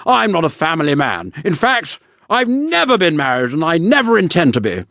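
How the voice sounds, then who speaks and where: A man's voice: on the high side, wildly swooping pitch, moderate pace, gravelly, a bit bright, dry recording, studio quality, full character voice. A man voicing a pompous, self-centred old male water rat in a storybook, with haughty, exclamatory delivery. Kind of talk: storybook character voice.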